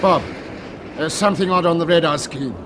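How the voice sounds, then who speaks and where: An actor playing an excitable, questioning spaceship radio operator, incredulous in manner, in a 1950s British radio drama. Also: male voice, a little high, fairly quick, close to an everyday voice. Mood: alarmed. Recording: noisy vintage 1950s radio recording.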